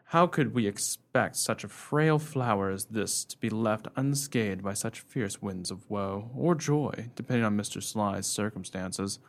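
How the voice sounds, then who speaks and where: A man playing a pompous, smooth-talking captain in a comic period radio play, with flowery theatrical delivery. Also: on the low side, a little slow, few pauses. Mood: flowery, poetic.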